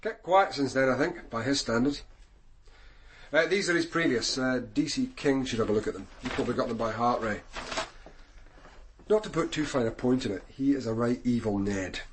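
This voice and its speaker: A man playing a senior police detective in a radio crime drama, Scottish, with a measured, dry, authoritative manner. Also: mid-range voice, a little hiss or hum, natural voice. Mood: measured, grim.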